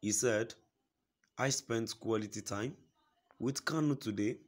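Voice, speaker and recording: A man reading a news report aloud in a steady, formal way, quoting a statement at length. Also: medium pitch, measured pace. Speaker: news reader.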